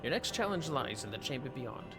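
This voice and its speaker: A man's voice: medium pitch, very animated, a little fast. A man voicing a stern, haughty knight who issues challenges in a fantasy game, speaking formally. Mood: stern, challenging. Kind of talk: reading game dialogue aloud in character. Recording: game music behind the voice.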